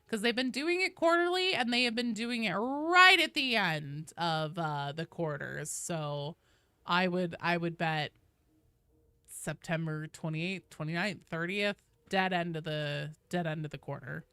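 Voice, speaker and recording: Bright, clear-voiced woman chatting on a livestream. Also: lowish voice, animated, unhurried, dry recording. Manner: informal, slangy, chatty. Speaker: game streamer and host. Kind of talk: casual livestream chat, reading out viewer comments.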